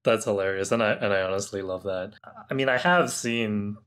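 Male gaming panelist, slightly low voice, blunt and casual conversational delivery. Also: fairly quick, a bit bright, clean tone. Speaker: podcast panelist. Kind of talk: casual, opinionated gaming chat.

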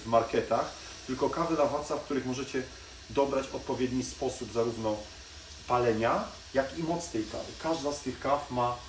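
A middle-pitched man talks casually as he walks a viewer through a coffee showroom, stopping for small hesitations. His voice bounces around a reflective room, with a faint hum of room noise behind him. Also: moderate pace, reverberant, poor audio. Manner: chatty, pointing at shelves while talking, small hesitations. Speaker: coffee shop salesman. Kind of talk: casual product presentation to camera. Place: coffee machine showroom, indoors.

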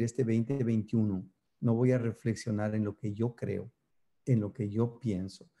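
A pastor in his fifties from Ecuador preaches a sermon to his webcam. His voice is mellow and warm, and his delivery is earnest and emphatic. The sound is close to the mic in a small office. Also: medium pitch. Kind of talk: sermon over video. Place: office indoors.

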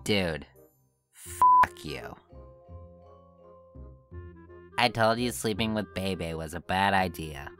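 A woman voicing a grumpy, sarcastic teenage boy in a blunt deadpan for an amateur cartoon dub. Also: extremely low pitch, slow pace, soft background music, full character voice. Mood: annoyed.

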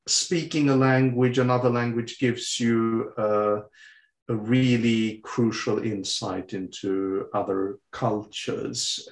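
A man in his sixties, raised with French as his first language, talks slowly in an interview. He pauses often on fillers and restarts his sentences. The room around him gives his voice a noticeable echo. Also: medium pitch. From Sweden. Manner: hesitant, many fillers and restarts. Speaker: guest. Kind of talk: conversational interview answers. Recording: roomy echo.

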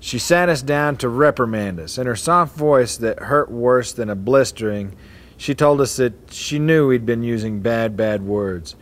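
A man's voice: mid-range voice, unhurried. A man casually reading short family stories aloud to relatives in a hotel atrium, breaking off to chuckle and comment.

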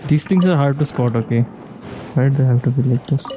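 A man's voice: mid-range voice, brisk, background noise. A man giving casual playthrough commentary, musing aloud in short reactive remarks as he plays. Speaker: gamer playing and reacting. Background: video game music.

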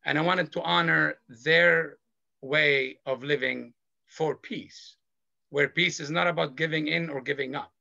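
Earnest man on a gravelly video call. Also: on the high side, fairly even intonation, pushed and bright, no room sound. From Lebanon. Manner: slow, earnest, measured delivery. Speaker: panelist. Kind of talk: personal testimony on a panel. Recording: dull video call audio.

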